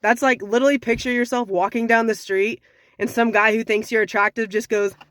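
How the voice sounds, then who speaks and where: A young woman with a slightly raspy voice from sinus trouble, giving frank dating advice in a casual talk to camera. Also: a little high, steady tone, a little fast, a bit bright, no echo. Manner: frank, conversational, sassy.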